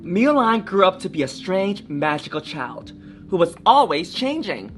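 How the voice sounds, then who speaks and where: A woman's voice: lowish voice, sing-song, a little slow, soft background music. A woman reading a children's picture book aloud in a theatrical, encouraging manner, often addressing young listeners directly. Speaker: drag artist storytime reader.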